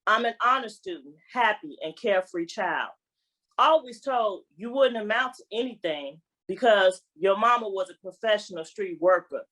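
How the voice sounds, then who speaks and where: A woman's voice: medium pitch, a bit bright, low-quality recording. A woman reading her own poem aloud as a spoken-word recital. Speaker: poet reading own work.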